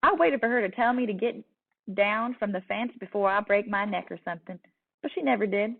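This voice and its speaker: A woman with a Southern US accent giving an expressive storybook read-aloud as a teacher, telling it in a young girl's words with soft dips at phrase ends. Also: medium pitch, a little fast, creaky. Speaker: teacher reading a picture book aloud. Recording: distant, tinny phone-like sound.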